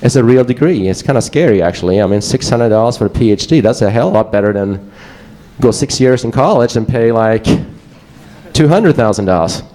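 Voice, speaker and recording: A man's voice: mid-range voice, lively intonation, a little fast, dark tone. A man with a Swedish accent giving a casual, joking conference presentation to a live audience. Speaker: conference speaker.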